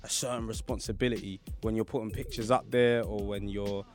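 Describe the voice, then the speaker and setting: A man's voice: lowish voice, steady tone, a little fast, faint music behind. A man hosting a radio show, chatting in a laid-back way in the studio, with lots of fillers and swearing. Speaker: radio host. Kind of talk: casual radio chat.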